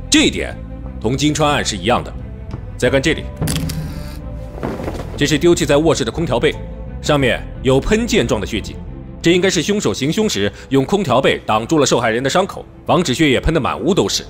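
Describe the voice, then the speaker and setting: A man playing a serious, dogged middle-aged police detective in an audio drama, speaking in a measured, firm way. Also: medium pitch, lightly acted. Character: dogged veteran police detective leading a murder case. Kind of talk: Chinese audio drama acting.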